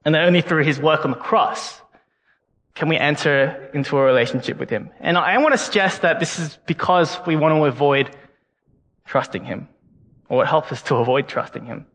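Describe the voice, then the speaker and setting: An earnest young man delivers a sermon, often stumbling back over his words as he thinks aloud. His voice sits a little high for a man. The sound carries a long reverb from the hall around him. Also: moderate pace, fairly bright tone. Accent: non-Canadian English, unplaced. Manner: earnest, frequent word repeats. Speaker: guest preacher. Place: church hall.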